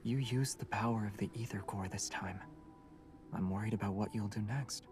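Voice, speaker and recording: An actor playing a guarded, secretive young starship captain, speaking softly and in a hush. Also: male voice, fairly low pitch, moderate pace, faint music behind, lightly acted.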